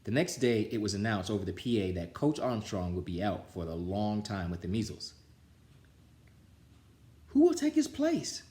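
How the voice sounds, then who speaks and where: A man reading a children's picture book aloud with an animated, theatrical delivery. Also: lowish voice, a little fast, few pauses. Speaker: storytime reader. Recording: roomy, echoing sound.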